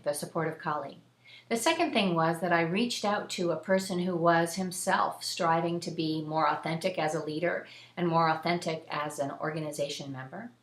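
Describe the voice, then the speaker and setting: A woman hosting and telling a personal story in a conversational, earnest, emphatic way. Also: fairly low pitch. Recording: echoey room.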